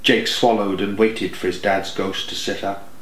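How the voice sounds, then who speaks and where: A man's voice: on the low side, little pitch movement, moderate pace, fairly bright tone, echoey room. A man narrating an audiobook in a scripted, dramatic storytelling style.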